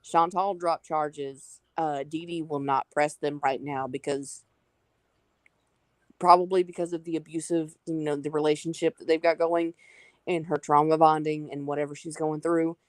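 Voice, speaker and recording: A woman's voice: deep voice, fairly even intonation, normal speed, no echo. A woman with a Southern US accent, talking earnestly and apologetically in a casual online group chat. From Southern United States. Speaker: call-in guest.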